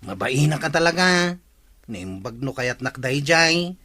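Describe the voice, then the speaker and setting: A shy bachelor nephew in a radio drama, with an Ilocano accent. His voice sits fairly high and is lively and bright, with swings in pitch. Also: measured pace. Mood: shy, hesitant. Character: bashful bachelor nephew of the village captain.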